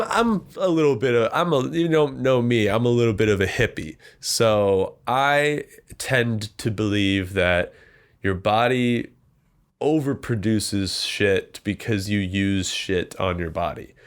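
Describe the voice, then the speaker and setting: A man's voice: on the low side, fairly bright tone. A young man hosting a comedy podcast, rambling casually and self-deprecatingly, his voice congested and nasal. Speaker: podcast host. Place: small warm room with a computer.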